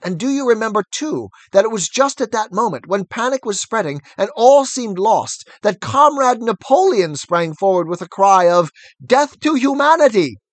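A man voicing Squealer, a persuasive, excitable male pig who talks fast and insistently. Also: high-pitched, dry recording, voice pushed up. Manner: smooth, insistent, wheedling.

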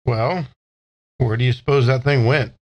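A man playing a confused old soul in a younger body for a tabletop game, speaking in halting stammers. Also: medium pitch, steady tone, highs cut off, lightly acted. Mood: puzzled.

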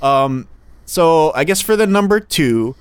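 A man's voice: a little high, animated, a little slow, clear, resonant tone, dry recording. A man in his thirties co-hosting a podcast, joking and teasing in casual chat. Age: 30s. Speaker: podcast co-host.

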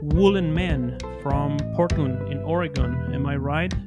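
A man's voice: on the high side, measured pace, lo-fi sound, loud music behind the voice. A man from Ecuador hosting a casual podcast interview in an enthusiastic, chatty way.

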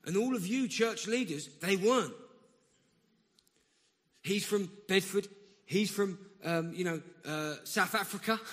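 A man's voice: high-pitched, measured pace, pauses often, fairly bright tone. A man with a South London accent preaching a sermon in a conversational way, often restarting and breaking into emphatic bursts. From South London, England. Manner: conversational, self-interrupting, rising to emphatic bursts. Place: church hall. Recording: long church reverb.

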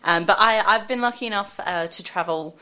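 A woman's voice: mid-range voice, a little fast, pushed and bright. A woman, a radio studio guest who chats casually and giggles a little bashfully. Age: 20s. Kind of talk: casual interview chat.

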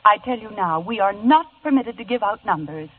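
A woman's voice: medium pitch, expressive, a little fast, close to an everyday voice. An actress playing a prim, stiffly polite woman receptionist at a beauty salon, in 1950s radio drama style. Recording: tinny old radio broadcast with hiss.

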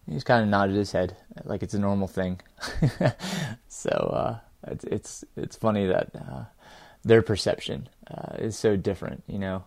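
A middle-aged man with a fairly low voice talks through a personal audio journal. He speaks in a halting, reflective way, pausing often to find his words, and the sound is close and dry. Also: animated, creaky, darkish tone, no echo. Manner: halting, hesitant, with frequent filled pauses and small chuckles. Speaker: podcast host and amateur ultrarunner.

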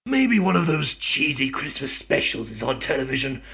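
A man playing a self-pitying mad scientist villain in a radio play, grumbling theatrically in a raspy voice. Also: mid-range voice, sing-song, moderate pace, bright, forceful tone, heavily acted. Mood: self-pitying, gloomy. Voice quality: gravelly, raspy. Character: whiny, melodramatic middle-aged mad scientist villain. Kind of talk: amateur radio play acting.

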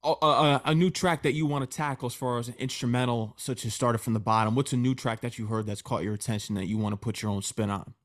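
A man's voice: medium pitch, steady tone, quick pace, few pauses. A man interviewing a rapper, asking long, rambling conversational questions.

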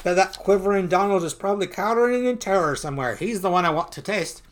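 A man's voice: sits high, fast talker, voice pushed up, lightly acted. A man voicing a grandiose, exclamatory old duck great-uncle while reading a comic story aloud. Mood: alarmed, grandiose. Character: Uncle Scrooge, excitable pompous old rich duck great-uncle.

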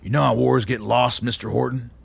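An actor playing a hard-bitten, blunt, profane army colonel in a radio drama, speaking with gruff authority. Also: male voice, medium pitch, level tone, brisk, no room sound, full character voice. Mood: angry, forceful.

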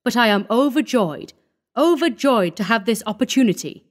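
A woman's voice: mid-range voice, big pitch swings, measured pace. A woman voicing a wealthy elderly male physician in an audiobook story, dignified but flustered, placating and condescending.

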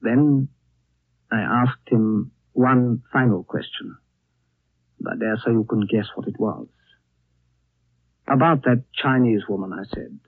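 An actor playing a reflective storyteller recounting a strange tale in a deliberate, suspenseful 1930s radio style. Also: male voice, medium pitch, breathy edge, no room sound, narrow, tinny audio. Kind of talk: 1930s radio acting, dramatic narration. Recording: thin, dry 1930s radio broadcast.

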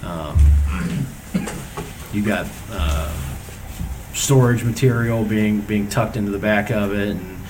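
A man's voice: lowish voice, takes it slowly, pauses often. A man on township staff reporting at a public board meeting, hesitant with many fillers and restarts. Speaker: township zoning staff member. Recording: distant mic, noisy room.

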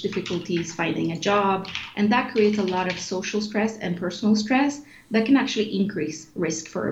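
Interview guest: woman in her forties, from Chile, thoughtful explainer, echoey dull-sounding room with faint hiss. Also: lowish voice. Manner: thoughtful, explanatory, with frequent fillers and restarts. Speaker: guest, executive director of an obesity organization. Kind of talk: casual interview answers.

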